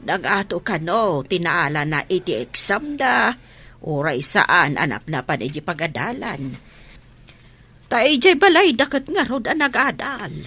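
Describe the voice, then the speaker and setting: A woman's voice: fairly low pitch, big pitch swings, measured pace, a bit bright, faint music behind, exaggerated voice. A woman with a Filipino accent playing a firm, worried grandmother in a radio drama. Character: firm, worried grandmother who scolds her grown daughter.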